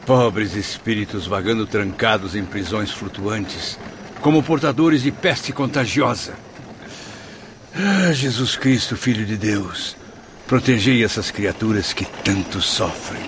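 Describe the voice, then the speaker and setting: A man's voice: lowish voice, slow pace, noisy background. An actor playing an anxious, devout male spirit in a radio drama, theatrical and full of wonder, with a Brazilian accent. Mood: sorrowful pleading. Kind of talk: radio drama acting, formal literary diction.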